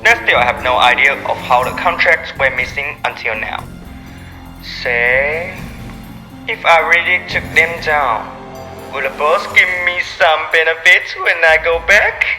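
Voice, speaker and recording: A man's voice: a little high, unhurried, sharp tone, soft background music, poor audio. A man playing a scheming, uneasy insider in a radio play monologue. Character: scheming, uneasy male insider plotting on phone.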